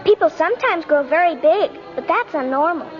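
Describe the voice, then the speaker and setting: A woman's voice: very high-pitched, lively intonation, fairly quick, soft background music. An actress playing a precocious, skeptical little girl in a 1950s radio drama, speaking in a precise, blunt, matter-of-fact way. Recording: muffled old 1950s radio recording.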